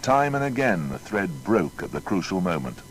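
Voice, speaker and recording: Deep-voiced, expressive male documentary narrator. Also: moderate pace, slight background noise.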